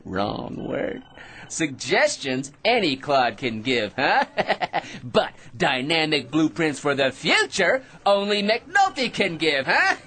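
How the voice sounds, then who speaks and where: Cocky, swooping, self-deluded schemer. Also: male voice, fairly high pitch, sing-song, takes it slowly, sharp tone, full character voice. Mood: boastful. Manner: cocky, nervous chuckling and tag questions. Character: brash, eager, self-deluded male office schemer and barfly. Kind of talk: comic radio drama acting.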